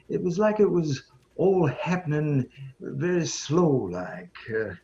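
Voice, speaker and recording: An actor playing a chatty, folksy small-town shopkeeper in an audio drama, halting and rambling as he tells things. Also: fairly high pitch, a little mellow, lo-fi sound, full character voice. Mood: bemused. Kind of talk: audio drama acting, storytelling.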